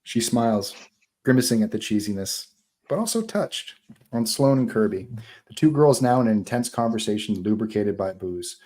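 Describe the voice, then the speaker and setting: A man reading screenplay action aloud as narrator of a live table read, in an informal, animated way. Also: fairly low pitch, moderate pace.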